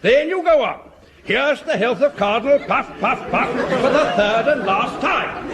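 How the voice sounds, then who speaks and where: A very high, swooping voice of a hearty old officer, upper-class English and boozily jovial. He speaks slowly and with relish, as in a vintage radio sitcom, on a noisy old recording. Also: animated, a bit bright, a faint crowd, exaggerated voice. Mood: tipsy relish. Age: older. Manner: chortling, hearty, instructing with relish. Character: jovial, boozy old British army officer.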